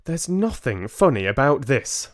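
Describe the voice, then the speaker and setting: Storybook role: elderly grandmother, played by a male reader, courteous yet firm, raised pitch, measured delivery. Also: very animated. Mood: bristling, firm. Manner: delicate, careful, insistent.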